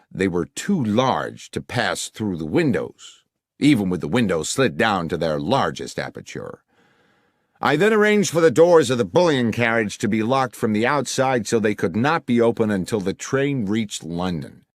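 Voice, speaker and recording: A man's voice: fairly low pitch, dry recording, clean studio sound. A man voicing a formal, wordy middle-aged businessman client who is fond of puns, in an audiobook. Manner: formal, long-winded, jovially self-amused. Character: anxious middle-aged businessman client, verbose, pun-loving. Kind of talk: audiobook character dialogue.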